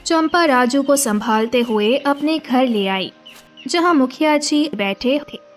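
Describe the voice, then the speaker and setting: A woman's voice: high-pitched, lively intonation, takes it slowly, soft background music. A woman narrating a moral tale as a scripted storyteller.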